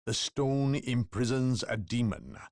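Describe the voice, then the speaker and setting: An actor playing a haughty, secretive inquisitor in a video game, speaking in a measured, imperious and ominous way with formal diction and a gravelly voice. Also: male voice, medium pitch, a little mellow, no room sound, clean studio sound, natural voice. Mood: grave, expository. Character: haughty, secretive male imperial inquisitor, zealous demon hunter. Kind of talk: dramatic video game cutscene acting.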